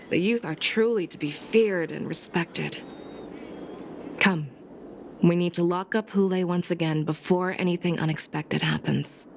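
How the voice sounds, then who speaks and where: A woman playing a composed, commanding general who is kindly toward juniors, measured and gracious, in a video game cutscene. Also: lowish voice, a little hiss or hum. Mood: admiring. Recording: music over the voice.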